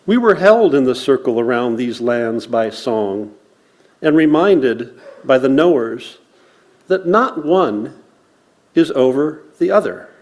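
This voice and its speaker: Man, medium pitch, unhurried pace, solemn recitation, measured phrasing, worship service reader. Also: animated, darkish tone. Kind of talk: land acknowledgement and poetry recitation to a congregation.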